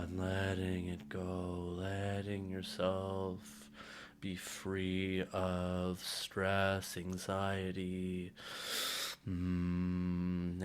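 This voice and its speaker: A man leading a guided meditation in a soothing way, with unhurried phrasing and audible sighs and hums. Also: low-pitched, a little hiss or hum. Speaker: meditation guide, music therapist. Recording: music playing over voice, online video call. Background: meditative instrumental music.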